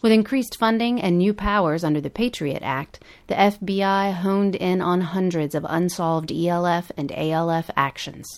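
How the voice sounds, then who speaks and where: A woman's voice: on the low side, moderate pace, hardly any pauses. A woman podcast host reading a scripted narration.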